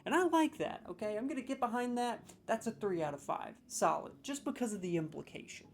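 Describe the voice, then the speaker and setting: A man's voice: high voice, animated, fairly quick, soft background music. A man in a comedic role, scoring lines with sarcastic, mock-serious, playfully exaggerated commentary.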